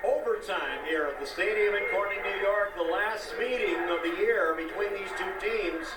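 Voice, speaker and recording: An American man calling live play-by-play as a radio sportscaster, excited and projecting in punchy short phrases, on an archive broadcast clip. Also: high voice, moderate pace, reverberant, distant mic, loud music behind the voice, rough recording.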